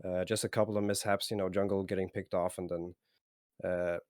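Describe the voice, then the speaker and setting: Hesitant esports caster, dry close mic. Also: male voice, deep voice, flat delivery, darkish tone, dry recording. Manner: hesitant, many fillers and restarts, measured. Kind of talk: casual post-game analysis.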